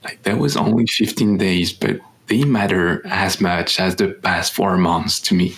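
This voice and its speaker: A young man from France co-hosts a casual podcast in English with a French accent. He speaks in a low voice at an unhurried pace, pausing and restarting as he searches for words. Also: steady tone, low-quality recording. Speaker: podcast co-host. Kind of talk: casual chat.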